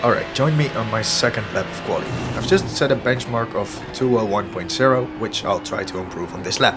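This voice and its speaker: A hoarse man who is losing his voice narrates his own sim racing footage in an analytic, self-deprecating way. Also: lowish voice, fairly quick. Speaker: sim racing video host. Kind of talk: commentary over gameplay footage. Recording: voice-over with music underneath. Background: background music.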